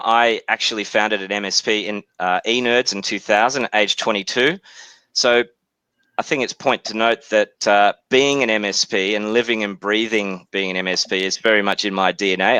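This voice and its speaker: A man in his forties with an Australian accent, politely presenting his software company in a webinar, speaking in a measured way with frequent hesitations. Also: fairly low pitch, clear, resonant tone, no echo, low-quality recording.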